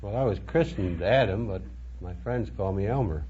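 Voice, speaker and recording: A man's voice: lowish voice, animated, normal speed. An American man from Minnesota answering a quiz host's questions in a plain, laconic, matter-of-fact way. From Minnesota, United States. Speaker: quiz contestant, police chief. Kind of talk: unrehearsed interview answers. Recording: muffled old radio recording, faint noise.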